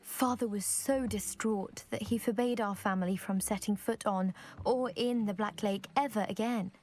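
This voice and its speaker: A woman's voice: mid-range voice, fairly even intonation, moderate pace, darkish tone, close to an everyday voice. A woman playing a proud, eager young lady from a scholarly family in a video game, with a posh British accent and precise, self-assured delivery. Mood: earnest. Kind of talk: video game character acting.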